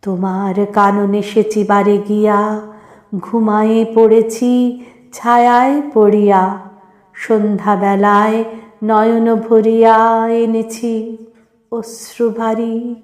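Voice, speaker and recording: A woman recites poetry expressively and in a measured way, declaiming phrase by phrase with pauses. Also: a little high, level tone, darkish tone, reverberant.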